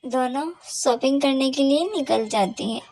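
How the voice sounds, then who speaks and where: A woman reading scripted storybook narration for an animated cartoon. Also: sits high, normal speed, dry recording.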